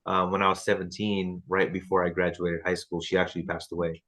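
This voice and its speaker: A man's voice: low-pitched, level tone, a little fast, bright, forceful tone. A man in his thirties leading a team training over a video call, loose and chatty with lots of fillers and restarts. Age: 30s. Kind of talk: casual video call chat.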